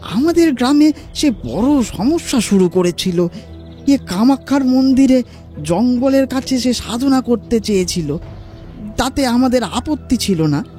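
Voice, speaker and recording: An actor voicing an awestruck, anxious villager in an audio horror drama, telling of troubles in a halting, dramatic way. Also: medium pitch, expressive, mellow, soft background music, natural voice. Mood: troubled, confiding.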